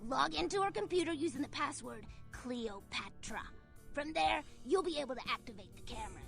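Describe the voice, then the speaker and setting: A vain vampire starlet from a video game, high-voiced with a gravelly creak. She sounds bitter, haughty and used to giving orders. Music plays clearly behind her. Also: expressive, a little fast. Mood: scheming, commanding. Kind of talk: video game character acting.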